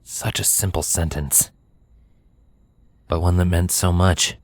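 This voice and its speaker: Low-voiced man narrating a story. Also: steady tone, takes it slowly. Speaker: narrator, fan fiction reader.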